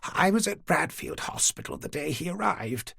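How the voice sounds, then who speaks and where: A frail, forgetful old woman, put on by a male audiobook narrator chatters in a genteel, rambling way. Her voice lifts and dips a lot and carries a gravelly edge. The sound is dry and close. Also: fairly low pitch, sing-song, normal speed, a bit bright, no echo, pitched above the performer's own voice, full character voice. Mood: chatty. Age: older. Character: frail, forgetful elderly woman, retired nurse. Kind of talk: audiobook character voice.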